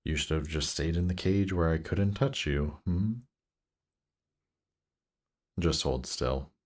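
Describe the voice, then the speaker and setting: A man playing a seductive demon prince in an intimate roleplay audio drama, teasing and coaxing in an unhurried way. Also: extremely low pitch, darkish tone, exaggerated voice.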